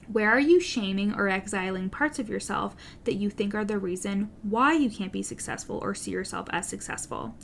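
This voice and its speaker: A woman's voice: medium pitch, slight background noise. A woman coach with a stutter giving a direct, earnest solo podcast monologue to her listeners, with an occasional swear word. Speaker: business coach, podcast host.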